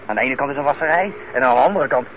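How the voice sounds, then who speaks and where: An actor playing a nervous, defensive small-time crook with halting, agitated protests in a Dutch radio play. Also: male voice, medium pitch, lightly acted. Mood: wary. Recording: muffled radio recording with loud noise.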